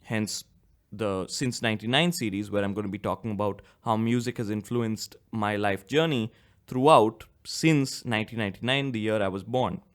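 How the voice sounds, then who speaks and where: A man's voice: on the low side, normal speed. A man in his twenties with a slight cough hosts his own solo podcast, rambling casually and correcting himself. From India. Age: 20s. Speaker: podcast host.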